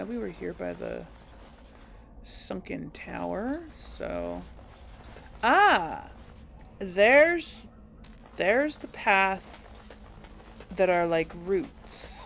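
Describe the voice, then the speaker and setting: A woman's voice: medium pitch, wildly swooping pitch, very slow, gravelly, fairly bright tone, a little hiss or hum. A young adult woman giving casual commentary on a game she plays, reacting aloud with laughs and wry remarks. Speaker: let's play host.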